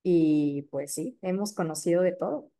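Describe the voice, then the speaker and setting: A woman in her thirties from Mexico City with a Mexico City accent, a periodontist guest chatting casually and filling pauses with "este" and "eh". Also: lowish voice. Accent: Mexico City Spanish. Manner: warm, chatty, many fillers like "este" and "eh". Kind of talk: casual interview chat.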